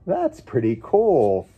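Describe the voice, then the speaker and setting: A man with a fairly high, slightly husky voice hosts a children's story time. His pitch swings widely as he reads from a picture book. He breaks off for playful, coaxing asides to his young viewers. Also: phone-quality sound. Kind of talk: animated children's picture book read-aloud with chatty asides.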